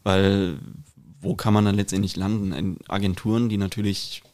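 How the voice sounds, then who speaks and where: A man with a fairly deep voice co-hosts a relaxed podcast conversation. He builds his questions loosely, halting and repeating words along the way. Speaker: podcast host. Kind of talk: casual conversational interviewing. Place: kitchen.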